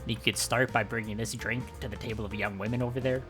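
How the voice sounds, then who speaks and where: A man's voice: lowish voice, fairly even intonation, quick pace, natural voice. A man voicing a cheerful, polite bar boss in a game fandub. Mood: courteous. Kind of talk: visual novel fandub acting. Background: background music.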